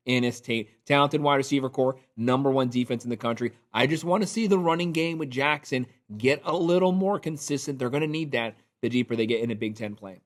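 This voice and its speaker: A man hosting his own college football channel, giving opinionated, rapid-fire reactions to the week's rankings while his voice is still a little off from being sick. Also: a little high. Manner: energetic, opinionated, rapid-fire sports talk. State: recovering from illness, voice a little off. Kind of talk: solo poll reaction commentary.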